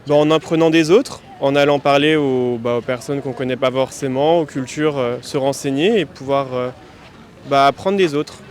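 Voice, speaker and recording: A man from France answers a street interviewer earnestly at a mid pitch, pausing to find his words. He is recorded outdoors on a city street. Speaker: passer-by.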